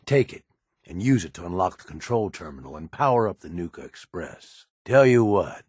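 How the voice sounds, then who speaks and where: Gruff, husky raider henchman. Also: deep voice, big pitch swings, normal speed, a little mellow, no echo, studio quality, full character voice. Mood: confident, conspiratorial. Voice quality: husky, rough. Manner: folksy slangy drawl, sardonic, swearing. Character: gruff cynical ruthless male raider henchman in a post-apocalyptic wasteland. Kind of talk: video game voice acting.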